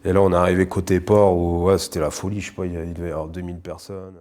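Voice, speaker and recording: A man's voice: low voice, flat delivery, very fast, warm tone. A man in his thirties from northern France, a professional rugby player answering interview questions casually, with many fillers and restarts.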